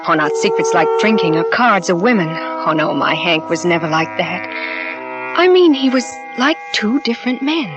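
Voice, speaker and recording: An actress playing a troubled young wife in a 1940s radio drama, narrating in a halting, confiding way. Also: female voice, on the low side, lively intonation, lightly acted. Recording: dull old radio recording with music.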